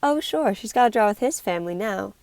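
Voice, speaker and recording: A woman's voice: medium pitch, animated, fairly quick, vocal fry, a little mellow, no echo. A woman with an American accent playing a gossipy, sociable small-town woman in an amateur play. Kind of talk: amateur stage-play acting.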